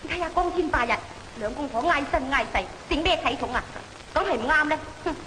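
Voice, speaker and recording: An actress playing a prim, pouting young wife from a genteel household in an old Cantonese opera film, coy and scolding in a theatrical way. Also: female voice, sits high, lively intonation, brisk, bright, forceful tone, long reverb tail, a little hiss or hum, low-quality recording.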